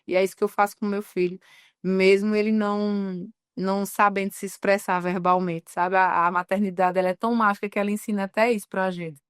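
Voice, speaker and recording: A woman journalist from Northeast Brazil talks in an earnest, reflective way and stretches out the words that matter. Her voice is smooth and clear. The sound is dry and close. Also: mid-range voice, flat delivery, no room sound. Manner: earnest, slows down on key words. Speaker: journalist guest. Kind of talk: reflective interview talk.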